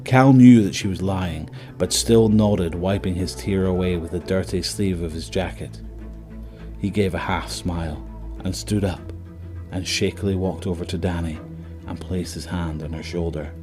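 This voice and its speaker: A man with a very low voice delivers dramatic, scripted horror narration. Music plays clearly underneath him as he tells the story. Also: steady tone, moderate pace.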